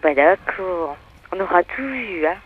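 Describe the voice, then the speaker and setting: A woman's voice: fairly low pitch, expressive, a little slow. A woman shop assistant on a telephone call, courteous and matter-of-fact in a customer-service manner. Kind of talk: polite customer-service phone talk.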